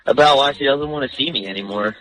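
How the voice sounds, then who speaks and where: Young male caller, slangy storyteller, forceful bright tone, faint music bed. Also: medium pitch, fast, poor audio. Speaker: radio show caller. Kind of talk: casual storytelling on a call-in radio segment.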